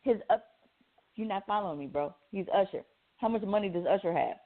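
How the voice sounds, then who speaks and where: A woman's voice: mid-range voice, brisk. Blunt woman on a thin, phone-like recording. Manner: insistent, repetitive, blunt, slangy. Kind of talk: casual debate.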